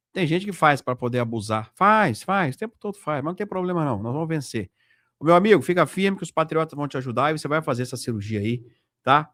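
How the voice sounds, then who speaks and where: A man's voice: mid-range voice, a bit bright, dry recording, clean studio sound. A Brazilian man hosting an informal commentary video, addressing viewers directly and repeating himself with frequent tag questions. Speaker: host of a political video channel.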